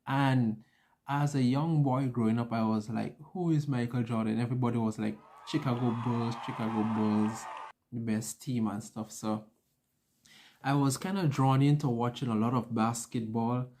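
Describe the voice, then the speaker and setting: Young guy vlogging about his life, soft mellow voice, chatty, acts out bits as he tells them. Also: mid-range voice, fairly even intonation, moderate pace, a little hiss or hum. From an island. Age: young adult. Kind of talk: casual personal storytelling to camera.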